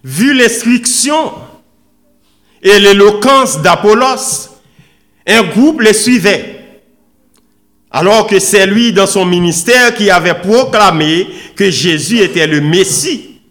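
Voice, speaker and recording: A man preaching a sermon in an oratorical, emphatic manner, with deliberate pauses and repeated phrases. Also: sits high, lively intonation, a bit bright. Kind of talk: sermon with scripture readings and rhetorical questions.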